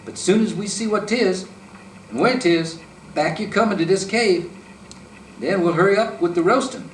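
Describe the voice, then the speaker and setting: A man's voice: a little high, big pitch swings, unhurried, fairly bright tone, slight background noise, pitched above the performer's own voice. A man voicing a mean, sly male fox who snarls and bosses, recorded in an echoey room from a distance. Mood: demanding. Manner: snarling, bossy, demanding.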